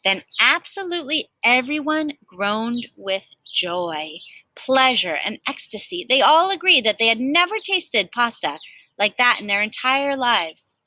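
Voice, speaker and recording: Lady teacher reading a kids book out loud, really lively up and down, bright voice, little asides. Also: on the high side, unhurried, a little hiss or hum, poor audio. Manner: animated, with emphatic stresses and chatty asides. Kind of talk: expressive storybook read-aloud for children.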